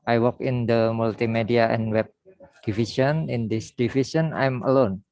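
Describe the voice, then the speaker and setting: A man's voice: mid-range voice, steady tone, normal speed, a little mellow. A man from Indonesia with an Indonesian accent, giving a slide presentation over a video call in simple, short phrases. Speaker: presenter, hospital multimedia and web worker.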